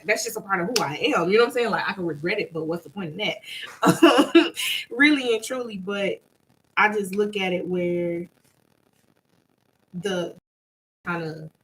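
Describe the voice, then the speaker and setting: A woman's voice: mid-range voice, a little slow, long pauses, fairly bright tone, a little hiss or hum, poor audio. A woman co-hosting a casual chat with her partner, telling stories emphatically and acting out what people said, with repeated phrases. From Bahamian and American parents. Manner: emphatic storytelling with repeated phrases, acts out quotes. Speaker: co-host.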